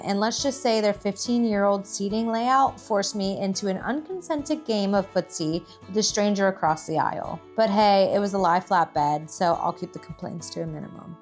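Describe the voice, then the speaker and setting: Woman narrating a travel vlog over music. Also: medium pitch. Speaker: travel vlogger and host.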